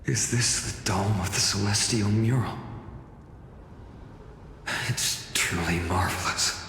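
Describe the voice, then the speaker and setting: A man's voice: lowish voice, takes it slowly, fairly bright tone, reverberant, big-room sound, a little hiss or hum. A man voicing an earnest, bold young swordsman hero in a video game, with dramatic delivery. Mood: curious, wary.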